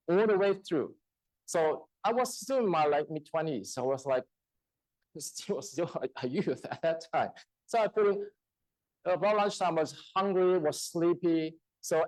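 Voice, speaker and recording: A man from China in his thirties, whose first language is a Chinese dialect, telling a personal testimony in church in a halting, storytelling way with frequent laughter. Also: a little high, a little mellow, no echo, poor audio. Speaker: church speaker. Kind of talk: testimony sermon. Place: church hall.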